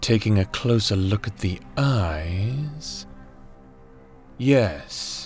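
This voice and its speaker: A man's voice: fairly low pitch, lively intonation, darkish tone, faint music behind. Man acting a clinical, fascinated fantasy researcher. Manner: formal, measured, detached. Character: clinical, fascinated male researcher dictating examination notes. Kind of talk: fantasy audio drama monologue.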